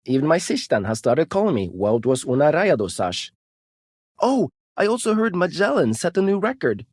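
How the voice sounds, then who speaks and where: A man's voice: on the high side, sing-song, moderate pace, dry recording, clean studio sound. A man voicing an eager, cheerful, chatty young male video game character.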